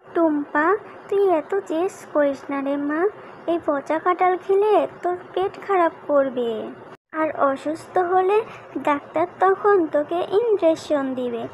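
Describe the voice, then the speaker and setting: A woman's voice: very high voice, measured pace, a little hiss or hum, low-quality recording. A woman voicing a caring, anxious mother in a children's cartoon story, with a fretful, coaxing, motherly manner.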